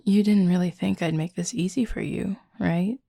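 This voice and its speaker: A woman voicing a confident, flirty werewolf pack leader in an intimate audio roleplay, with a husky, breathy, gravelly voice and a teasing, sardonic drawl. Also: on the low side, fairly even intonation, moderate pace, warm tone, no echo, studio quality.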